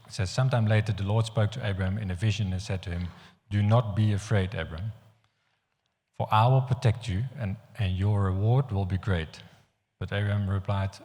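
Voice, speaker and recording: A man from the Netherlands with a Dutch accent, preaching a sermon in an earnest, halting way with frequent restarts. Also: lowish voice, warm tone. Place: church hall. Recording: long hall reverb.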